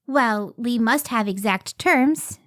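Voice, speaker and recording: Woman as earnest, high-voiced lady scientist. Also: slow, a bit bright, dry recording, clean studio sound. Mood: excited, earnest. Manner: earnest, careful, emotive delivery. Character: earnest, modest, devoted woman scientist and wife. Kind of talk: old-time radio drama acting.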